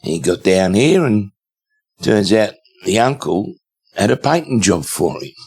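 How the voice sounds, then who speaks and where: A man in his seventies with an Australian accent, a guest telling stories in a relaxed, rambling way with many fillers. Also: fairly low pitch, a little slow, husky. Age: 70s. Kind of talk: casual storytelling interview.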